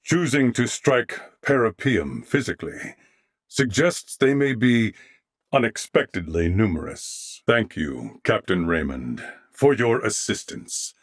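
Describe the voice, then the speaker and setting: Deep, measured, noble alien warrior. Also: male voice, lively intonation, dry recording, studio quality. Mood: solemn, courteous. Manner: formal, courteous, measured. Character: noble, solemn male alien warrior of a networked race. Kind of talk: video game character voice acting.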